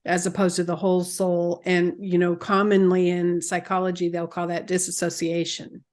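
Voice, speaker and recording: A woman's voice: fairly low pitch, completely flat delivery, moderate pace, darkish tone. A woman hosting a podcast interview, who asks open questions and listens attentively. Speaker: podcast host and astrologer. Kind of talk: casual interview.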